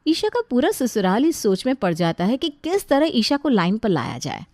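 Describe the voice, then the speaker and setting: A woman's voice: a little high, big pitch swings, normal speed, darkish tone, dry recording. A woman telling a scripted family moral story as its narrator.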